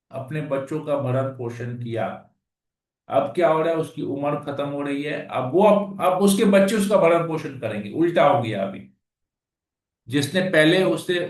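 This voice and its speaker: A man reading scripture verses aloud and then explaining them in plain conversational talk. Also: mid-range voice. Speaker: scripture class speaker. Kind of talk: Hindi scripture reading with commentary. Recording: echoey room, far from the mic.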